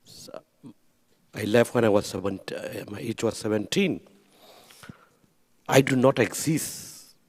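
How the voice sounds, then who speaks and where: Man, slow earnest panelist, reverberant hall. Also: fairly low pitch, breathy edge. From Burma. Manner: earnest, non-native grammar, repeats phrases for emphasis. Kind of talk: speaking at a panel event.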